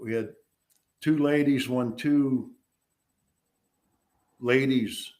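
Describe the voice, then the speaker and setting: An older man who is a guest on a chat show, telling stories in a halting way with many pauses and fillers. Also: medium pitch, fairly even intonation, darkish tone. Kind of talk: casual chat.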